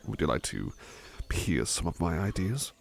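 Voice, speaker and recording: A man playing a devoted, polite butler in an ASMR roleplay, speaking tenderly and hesitantly, with soft reassurance. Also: sits low, big pitch swings, normal speed, gravelly, heavily acted. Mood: attentive, courteous. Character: devoted, gentle, polite male butler companion.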